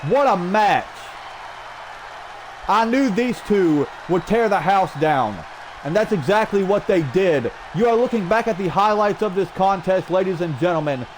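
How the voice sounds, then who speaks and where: A man calling a video game wrestling match play by play, energetic with shouted exclamations and a strained throat. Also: sits high, unhurried. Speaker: play-by-play commentator. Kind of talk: excited wrestling commentary over video game. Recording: loud game crowd and noise behind. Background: video game wrestling crowd.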